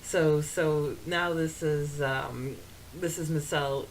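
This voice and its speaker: Female podcast co-host, slightly low voice, halting conversational delivery with lots of fillers, faint background noise. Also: fairly even intonation, poor audio. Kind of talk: casual podcast chat.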